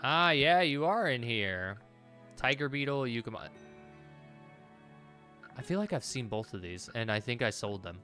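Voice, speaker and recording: Bright-voiced man thinking aloud over a game. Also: mid-range voice, animated, a little slow, long pauses, soft background music. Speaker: gaming video host. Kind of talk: casual playthrough commentary.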